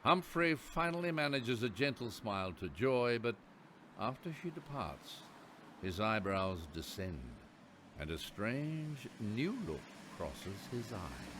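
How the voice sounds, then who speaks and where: A man's voice: mid-range voice, sing-song, slow, noisy background. A man playing the narrator of a radio play, telling the story in scripted, descriptive prose. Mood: measured storytelling.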